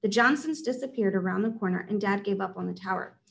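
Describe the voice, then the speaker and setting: A woman's voice: mid-range voice, fast talker, creaky. A woman reading a children's story aloud as its narrator. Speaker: read-aloud narrator at a school.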